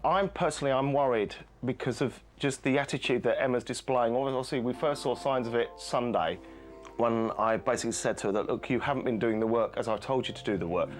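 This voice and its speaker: A man's voice: medium pitch, fairly quick, faint music behind. Firm, blunt male vocal coach. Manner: firm, blunt pep talks. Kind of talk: coaching and reality TV interview.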